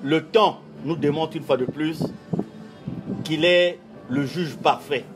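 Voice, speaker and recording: A man whose first language is not French, giving a formal interview statement as a church official, speaking in a measured, oratorical way with repeated emphasis. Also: on the high side, music in the background.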